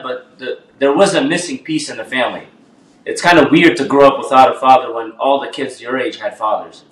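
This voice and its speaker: A man's voice: on the high side, fairly bright tone. Laid-back young man in an echoey room. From Albania. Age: 20s. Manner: laid-back storytelling with slang. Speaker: guest artist. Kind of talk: casual podcast chat.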